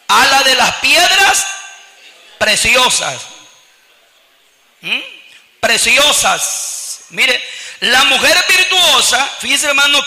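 A man's voice: extremely high pitch, sharp tone. A man from El Salvador with a Salvadoran accent, preaching a fervent sermon and often calling out to the congregation as brothers. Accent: Salvadoran Spanish. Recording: long reverb of a large hall.

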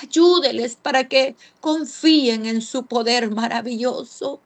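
A woman's voice: a little high, fairly even intonation, a little slow, dry recording. A woman leading a fervent intercessory prayer, breaking it into short phrases punctuated by repeated calls to God. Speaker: prayer leader.

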